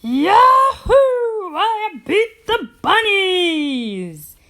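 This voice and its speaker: A woman's voice: very high voice, sing-song, very slow, sharp tone, voice pushed up, exaggerated voice. A woman voicing a stubborn, boastful man gardener from a storybook, huffing and exclaiming as he gloats. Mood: elated.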